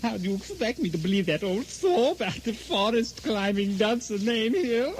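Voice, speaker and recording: An actor playing an overconfident, scornful castle lord in a comic radio drama, very theatrically. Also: male voice, high voice, sing-song, normal speed. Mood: mocking, manic. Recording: faintly noisy old radio recording.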